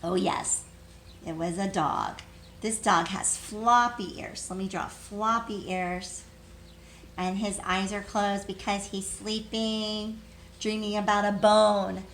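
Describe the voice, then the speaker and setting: A woman's voice: medium pitch, fairly even intonation, slow, a bit bright. Woman teaching young children, noisy recording. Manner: simple, encouraging teacher talk, asks questions and answers them herself. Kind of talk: children's read-aloud lesson with questions and answers.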